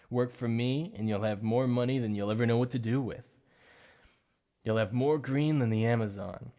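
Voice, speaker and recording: A man voicing a shy, obsessive male supervillain in an ASMR roleplay, soft-spoken and stammering over his slips of the tongue. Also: medium pitch, natural voice. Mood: coaxing.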